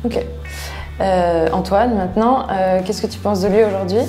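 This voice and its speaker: A woman's voice: medium pitch, fairly even intonation, normal speed, warm tone, faint music behind. A woman asking casual questions as an interviewer. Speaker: off-screen interviewer.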